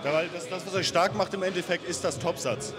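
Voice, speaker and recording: A young man with a slightly raised pitch who explains lifting calmly and plainly. His voice sits under loud music in a large gym. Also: brisk. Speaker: powerlifting athlete. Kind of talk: casual chat with coaching tips.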